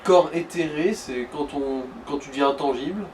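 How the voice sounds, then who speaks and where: A man's voice: a little high, normal speed. A man giving casual, slangy commentary on a video game, explaining things as he goes. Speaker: co-commentator of a let's play. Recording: noisy room, distant microphone.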